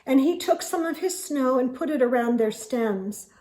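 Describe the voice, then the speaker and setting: A woman storyteller reading a children's book aloud expressively, with deliberate phrasing and dramatic emphasis. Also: high voice, lively intonation, dark tone, poor audio.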